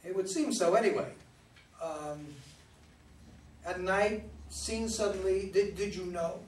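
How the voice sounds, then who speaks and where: A man's voice: high-pitched, a little slow. A man acting a wry, needling know-it-all son in his twenties in a staged comedy reading. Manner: dry, needling. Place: echoey room, mic away from performers. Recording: roomy distant stage recording, faint noise.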